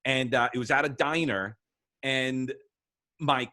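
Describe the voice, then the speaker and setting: A man hosting an interview show, telling a story in a relaxed, thoughtful way. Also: mid-range voice, unhurried. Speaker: show host.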